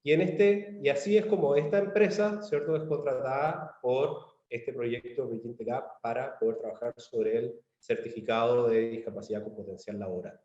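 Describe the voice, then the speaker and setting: A man's voice: medium pitch, fairly even intonation, warm tone, echoey room, highs cut off. Chilean man presenting on a video call. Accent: Chilean Spanish. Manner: measured, with frequent fillers and restarts. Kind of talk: virtual conference presentation. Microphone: computer mic on a video call.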